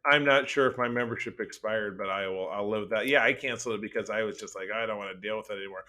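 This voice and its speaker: A man co-hosting a casual pop culture podcast, talking in a halting, rambling, informal way. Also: fairly low pitch, sharp tone. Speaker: podcast co-host.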